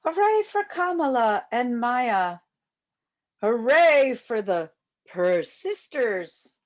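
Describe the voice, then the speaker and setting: An older woman reading a children's storybook aloud as a volunteer, with expressive delivery aimed at young children. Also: a little high, slow pace.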